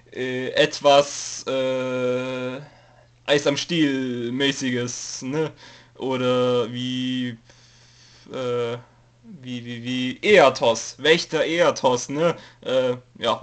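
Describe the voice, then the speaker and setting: A man's voice: mid-range voice, fairly even intonation, slow pace. Rambling young guy with a cold. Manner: hesitant, many fillers, reads from a note, jokey. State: has a cold, coughing. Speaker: YouTube let's player giving a channel update. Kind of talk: casual rambling info video.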